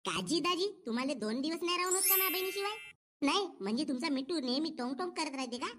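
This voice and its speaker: A man's voice: extremely high pitch, animated, quick pace, background noise. A man with a rural Maharashtrian accent voicing a cheeky, insulting young brother-in-law in a comedy cartoon, mocking and scolding. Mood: threatening.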